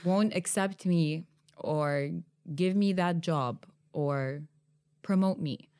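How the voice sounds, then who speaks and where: A young woman hosting a podcast interview, animated and emphatic, often laughing as she talks. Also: lowish voice, slow, clear, resonant tone. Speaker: podcast host. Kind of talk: conversational interview.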